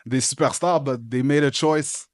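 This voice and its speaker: Easygoing host, bilingual. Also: male voice, fairly high pitch, steady tone, unhurried, dry recording. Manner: easygoing, switches between French and English. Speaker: podcast host. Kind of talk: casual interview chat.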